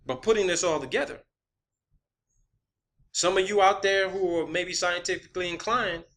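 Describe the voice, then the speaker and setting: A man's voice: high voice, moderate pace, a bit bright. A man giving an improvised, emphatic and profane monologue as an amateur explainer, repeating words for stress and checking his points with questioning tags. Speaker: amateur science vlogger.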